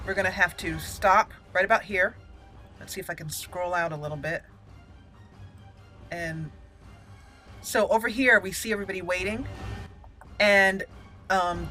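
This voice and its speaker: Bright-voiced woman narrating her gameplay. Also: mid-range voice. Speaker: video game let's play host. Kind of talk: casual gameplay commentary.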